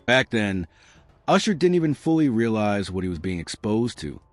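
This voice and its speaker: A man's voice: on the low side, sing-song, moderate pace, no room sound. A man reading a scripted gossip documentary narration as a voice-over. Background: music.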